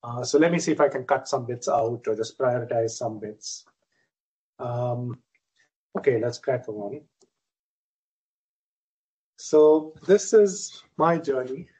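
Man, middle-aged, from India, husky, relaxed lecturing, filler-heavy. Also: mid-range voice, takes it slowly, long pauses, rough recording. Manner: relaxed, self-deprecating, many fillers. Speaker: guest lecturer, professor. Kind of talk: academic lecture.

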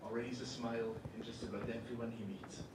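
A man's voice: mid-range voice, fairly even intonation, brisk. Man narrating a dry personal story, roomy. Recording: roomy, distant and noisy.

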